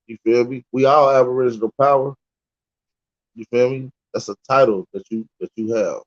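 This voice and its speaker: A man co-hosts a live call-in stream, throwing out crude, mocking trash talk at callers. His voice has an average pitch. The audio is muffled and lo-fi. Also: fairly even intonation, normal speed. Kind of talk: casual streaming banter.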